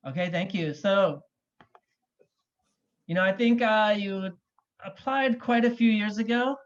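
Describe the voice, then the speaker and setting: A man's voice: high-pitched, measured pace. A man hosting a conversational interview as an admissions consultant, recorded over a video call. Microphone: video call microphone. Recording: dull, lo-fi video call audio.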